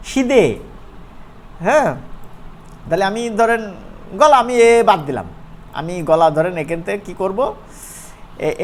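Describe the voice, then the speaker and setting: An animation course instructor with a regional Bangladeshi Bengali accent, teaching informally and often checking in with questions. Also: medium pitch. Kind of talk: informal live software tutorial.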